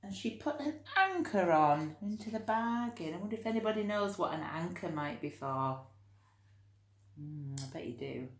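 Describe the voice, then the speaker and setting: A woman teacher reading a storybook to children, expressive, breaking off to put questions straight to her young listeners. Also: on the low side, normal speed, echoey room. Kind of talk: animated children's storytelling with friendly asides.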